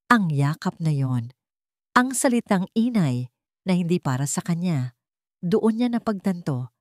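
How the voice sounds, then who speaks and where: A woman narrates an audio drama in a somewhat low voice, recorded dry and close. Her delivery is solemn and suspenseful, full of feeling, in the manner of a scripted storyteller. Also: dry recording.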